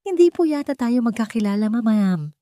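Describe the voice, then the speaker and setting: Audio drama role: woman as humble waitress holding on to dignity, soft, polite, hesitant, closely recorded. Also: fairly high pitch, sing-song, normal speed, no echo, pitched above the performer's own voice. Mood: nervous, polite. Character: meek, humiliated waitress keeping her dignity.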